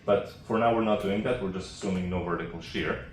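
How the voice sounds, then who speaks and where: A man with a low voice presents a technical seminar in an explanatory, halting, informal way. He is picked up from a distance in an echoey room, with faint noise behind him. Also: fairly even intonation. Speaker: academic researcher presenting. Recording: roomy, distant mic, faint background noise.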